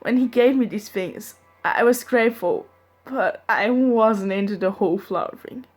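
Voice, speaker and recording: A woman's voice: medium pitch, moderate pace, darkish tone, husky, soft background music. Young woman reading fanfiction aloud. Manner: reads in first person, giggles and breaks off to joke. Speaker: fanfiction reader.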